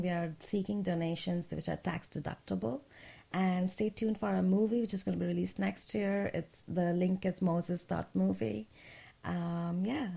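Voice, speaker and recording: A woman's voice: lowish voice, gravelly, dark tone. A woman speaking conversationally as an interview guest about her work. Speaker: interview guest, project representative.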